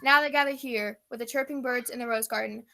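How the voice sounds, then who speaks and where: A woman's voice: on the high side, steady tone, fast talker, creaky, sharp tone. A woman playing an earnest, overblown female news reporter in a comic radio play. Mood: portentous. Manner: portentous, overblown.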